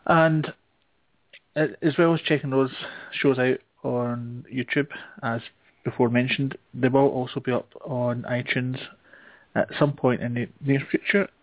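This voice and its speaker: Bloke chatting on a podcast, normal pitch, kinda hesitant and rambly, tinny phone sound. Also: male voice, fairly even intonation, a little slow, no room sound. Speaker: show host taking a turn as guest. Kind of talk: casual podcast chat. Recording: thin phone-like internet radio sound.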